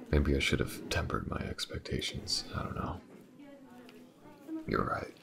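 A man playing a weary, driven detective in an audio roleplay, with a hesitant, muttering delivery and a gravelly voice. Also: background noise, natural voice. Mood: frustrated, dejected. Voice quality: very deep, gravelly. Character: weary, driven male detective investigating a dark mystery. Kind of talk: intimate audio roleplay acting.

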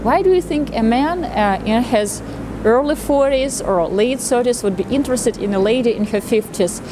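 A woman in her thirties with a fairly high voice speaks to camera as a presenter. Her delivery is explanatory and animated, slipping into acted-out remarks. She is outdoors on a city street, with clear street noise behind her. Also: moderate pace. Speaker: matchmaker presenting a video channel. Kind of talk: talking to camera, explanatory with anecdotes.

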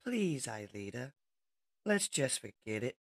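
A woman playing a bitter, wounded robot fighter with a husky voice in a comic dub. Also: a bass voice, big pitch swings, a little slow, no echo, full character voice. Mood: dismissive.

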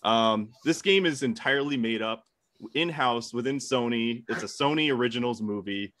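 Brief: young male debater, bright forceful voice, sweary casual banter, close dry mic. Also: mid-range voice, normal speed, dry recording. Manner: sweary, joking. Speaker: debate contestant.

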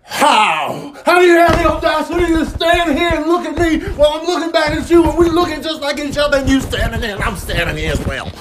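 A man voicing an indignant, boastful animal in a comic voiceover, shouting in long rambling outbursts. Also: very high voice, lively intonation, a little fast, few pauses, a crowd in the background.

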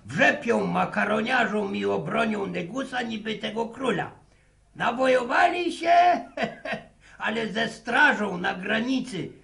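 A sly old country grandfather with a very gravelly, unhurried voice and rural Polish dialect. He teases and mocks in a folksy radio-play manner, repeating his words. The sound is roomy. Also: sits high, pushed and bright, exaggerated voice. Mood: amused storytelling. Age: older. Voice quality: creaky. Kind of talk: radio drama acting.

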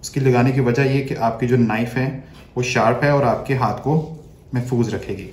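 Man, 30s, chef; calm instructive demo delivery, mid-pitched, roomy kitchen sound. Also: normal speed. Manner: step-by-step instructive, speaks while working with hands. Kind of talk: cooking demonstration. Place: home kitchen, indoors. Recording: echoey kitchen, faint background noise.

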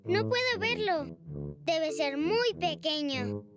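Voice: very high-pitched, expressive, takes it slowly, music in the background, full character voice. A performer voicing a cheerful, inquisitive little girl pig in a children's cartoon. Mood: curious.

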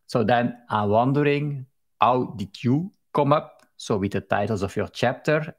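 A man in his thirties with a Belgian accent, asking questions on a video call through a headset. His voice sits at an ordinary pitch, and his phrasing is friendly and a little non-native. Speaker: host. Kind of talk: interview host on video call. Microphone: headphones with mic on a video call.

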